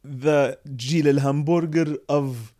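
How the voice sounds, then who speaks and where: A man's voice: fairly high pitch, fairly even intonation, slow, mellow. Casual young man telling a story. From Canada. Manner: laughs often, quotes people in anecdotes. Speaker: podcast co-host.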